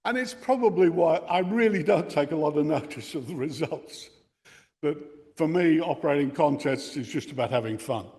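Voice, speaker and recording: An older man giving an informal explanatory talk, reflective and halting in his phrasing. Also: on the high side, darkish tone. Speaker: presenter giving a talk on radio contesting. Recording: reverberant hall sound.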